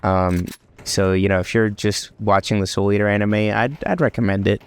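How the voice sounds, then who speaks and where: Young male vlogger, stuffed-up nose from a cold, lowish voice, loose rambling delivery, faint hiss behind. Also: steady tone, normal speed. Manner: rambling, many ums and restarts. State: congested from a cold. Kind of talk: casual anime talk vlog.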